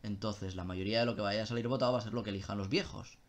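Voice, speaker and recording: A young man, speaking in a fairly low voice. He talks loosely and casually on a live stream, swearing now and then and breaking off mid-sentence. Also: steady tone, racing. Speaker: streamer answering viewer questions.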